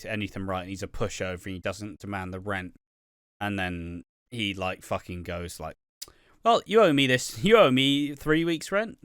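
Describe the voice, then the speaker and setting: A man's voice: on the low side, fairly bright tone, clean tone, no room sound, studio quality. A man co-hosting a casual podcast about a TV soap, swearing freely and acting out characters' lines. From United Kingdom. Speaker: podcast co-host.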